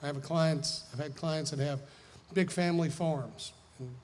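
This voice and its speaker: A man's voice: fairly high pitch, normal speed, a little mellow. A man with a Southern American accent giving an informal, folksy, explanatory seminar talk as a lawyer. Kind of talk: informal lecture with audience questions. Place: large hall, speaking to an audience. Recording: echoey hall, noisy background.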